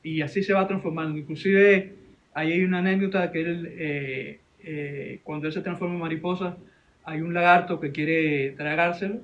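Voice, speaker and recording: Muffled, higher-pitched Venezuelan man telling stories. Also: steady tone, fairly bright tone. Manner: halting, repeats words, colloquial. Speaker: interview guest, animation director and teacher. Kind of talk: informal spoken storytelling in an interview.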